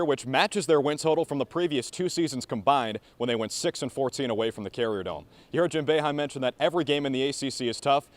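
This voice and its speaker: A man in his early twenties working as a sports broadcaster, with polished commentary and reporting. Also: mid-range voice. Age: early 20s. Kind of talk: play-by-play commentary, interviews and studio reporting.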